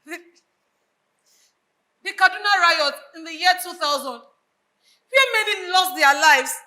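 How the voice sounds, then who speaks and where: High, piercing Nigerian tragic mother played by an actress. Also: lively intonation, a little slow, pushed and bright, full character voice. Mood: anguished. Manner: declamatory, anguished, theatrical. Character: grieving, unhinged bereaved mother who loves books. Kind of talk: live stage drama acting.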